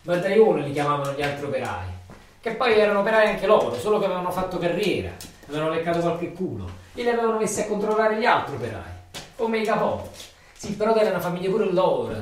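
A man with a Neapolitan accent delivers a theatrical monologue in a colloquial, emphatic, repetitive storytelling manner. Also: on the high side. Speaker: stage monologue actor. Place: roomy interior, far from microphone. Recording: distant echoey room, faint noise.

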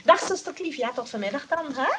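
An actress playing a gushing, grasping, flirtatious shopkeeper in a comedic radio play, with an effusive, cooing manner and a Netherlands Dutch accent. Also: female voice, high voice, lively intonation, brisk, noisy background. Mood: sweetly grasping. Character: gushing, grasping, flirtatious female souvenir seller.

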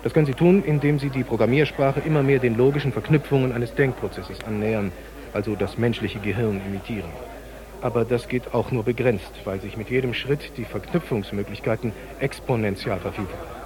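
Schauspieler als sachlich dozierender Computerwissenschaftler, Geräuschkulisse im Hintergrund. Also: male voice, medium pitch, steady tone, brisk, crowd noise, close to an everyday voice, low-quality recording. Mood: earnest, explanatory. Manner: didactic, precise, technical. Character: male computer scientist explaining his system, earnest and clinical. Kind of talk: radio drama dialogue.

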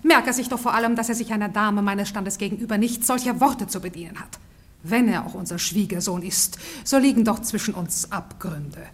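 A woman's voice: medium pitch, a little fast, natural voice. An actress playing a haughty, snobbish noblewoman and mother-in-law in a German radio play, formal and scolding. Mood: affronted, scolding.

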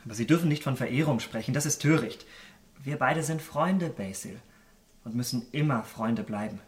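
An actor in a German radio drama playing an elegant, secretive young gentleman, charming but guarded, with polished formal diction. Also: mid-range voice, moderate pace, close to an everyday voice. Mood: soothing, light. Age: young adult.

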